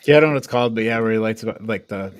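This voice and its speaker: A man's voice: lowish voice, rapid-fire, crowd noise. A man co-hosting a casual, informal review discussion, recapping things in an offhand way. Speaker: podcast co-host reviewing films.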